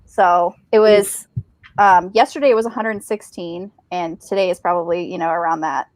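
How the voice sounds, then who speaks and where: A woman's voice: lowish voice, steady tone, moderate pace, no echo. A woman chatting casually from home on a group livestream. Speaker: book reviewer in a livestream readalong. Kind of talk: casual chat. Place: at home on a group video call.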